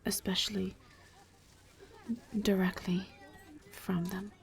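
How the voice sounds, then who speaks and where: A woman playing a gentle, reserved vampire in a roleplay audio drama, speaking in a hushed, breathy voice with careful, halting, formal phrasing. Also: mid-range voice, level tone. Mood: flustered, shy. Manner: halting, careful, formal diction without contractions. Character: gentle, reserved female vampire travelling with a knight.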